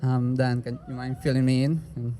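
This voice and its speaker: A man's voice: mid-range voice, level tone, normal speed, mellow, slight background noise. A young man from Peru with a Peruvian Spanish accent, a native Spanish speaker, talking casually and rambling at an outdoor rally. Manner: casual, rambling, many "like" fillers.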